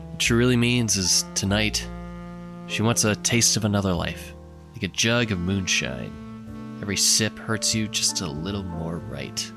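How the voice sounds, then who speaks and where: An actor playing a pompous, womanizing radio producer in a comedy audio drama, full of melodramatic boasting. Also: fairly low pitch. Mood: brooding, dramatic. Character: smug self-styled cool guy radio producer, womanizing braggart. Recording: music behind the voice.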